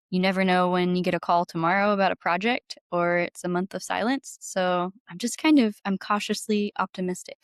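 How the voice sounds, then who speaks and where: A woman's voice: on the low side, clean tone, dry recording, studio quality. A woman chatting casually as an interview guest, informal and playful, peppering her sentences with "like". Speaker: podcast guest, musician. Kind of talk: casual chat.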